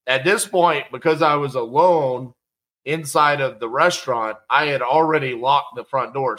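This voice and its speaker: Bright-voiced man telling a story. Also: medium pitch. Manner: conversational, animated, acts out quotes. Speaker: podcast host telling a personal story. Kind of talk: casual storytelling monologue.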